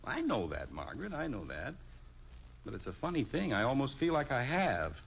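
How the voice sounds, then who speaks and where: A flustered suburban dad. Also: male voice, medium pitch, wildly swooping pitch, normal speed, creaky. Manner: nervous, stammering, over-explaining. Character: flustered middle-aged family father. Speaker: father. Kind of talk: 1950s radio comedy acting. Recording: dull old radio recording, faint hiss.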